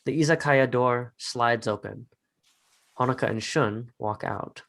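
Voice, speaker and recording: Male narrator with a medium-pitched voice. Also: measured pace, creaky, fairly bright tone. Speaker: narrator reading stage directions. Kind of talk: scripted narration.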